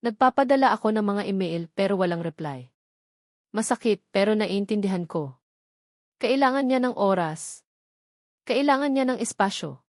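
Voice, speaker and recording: Female storyteller, medium pitch, heartfelt even read; dry, close, no room sound. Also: normal speed, pauses often, no echo. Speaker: storyteller narrator. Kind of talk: scripted first-person love story narration.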